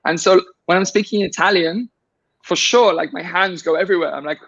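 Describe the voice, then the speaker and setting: A man's voice: high-pitched, a little fast, gravelly, a bit bright, highs cut off. A man guest in a casual conversation, rambling with many restarts and fillers.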